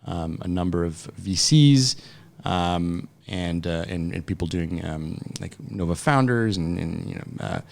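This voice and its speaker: A man's voice: sits low, animated, measured pace, vocal fry, few pauses. A man in his late thirties from France, answering interview questions conversationally, with frequent hesitations and restarts. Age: late 30s. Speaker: interview guest, startup founder. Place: podcast studio.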